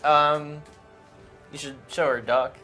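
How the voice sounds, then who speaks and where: A man giving casual, technical gameplay commentary in a halting way. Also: on the high side, steady tone, sharp tone, faint music behind. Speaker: game commentator.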